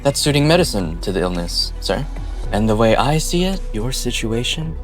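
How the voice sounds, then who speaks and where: A man playing a smooth-talking, scheming corporate businessman with a theatrical, coaxing manner in an amateur game dub. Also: mid-range voice, sing-song, moderate pace, natural voice. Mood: insinuating. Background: background music.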